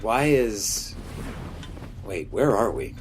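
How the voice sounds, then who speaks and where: An actor playing a badly wounded, weary captain in an audio drama, with weak, labored, halting and terse speech. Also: mid-range voice, big pitch swings, a little hiss or hum. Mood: dazed.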